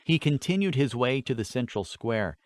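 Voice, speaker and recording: A man's voice: medium pitch, expressive, moderate pace, no room sound, clean studio sound. A man reading a scripted fantasy story as an audiobook narrator, with an earnest, dramatic delivery.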